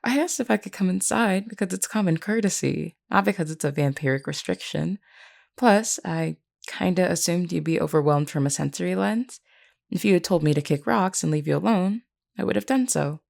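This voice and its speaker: A woman playing a gentle, courteous vampire queen in an intimate audio roleplay, speaking politely and reassuringly. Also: lowish voice, moderate pace, gravelly. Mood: candid, casual. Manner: polite, soft-spoken reassurance with hesitant asides.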